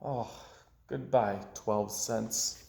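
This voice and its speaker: A man's voice: fairly low pitch, steady tone, extremely slow, creaky, a little mellow, a little hiss or hum, pitched below the performer's own voice, heavily acted. Guy doing a dramatic little kid from a storybook, rueful n emphatic, roomy echo. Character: young boy, dramatic, aggrieved, forever losing his money. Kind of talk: expressive children's storybook read-aloud.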